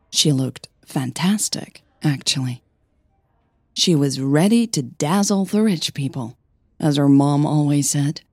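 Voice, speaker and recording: A woman narrating scripted audio fiction in a measured storytelling manner, phrase by phrase. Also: low voice, darkish tone, breathy edge, no echo, clean studio sound. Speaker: podcast host and narrator.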